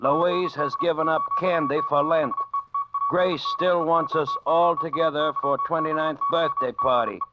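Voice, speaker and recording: Swooping, clipped ship radio operator. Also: male voice, fairly high pitch, huge pitch swings, unhurried, over loud music. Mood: brisk, official. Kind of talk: old-time radio drama acting. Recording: muffled old radio recording, loud effects behind.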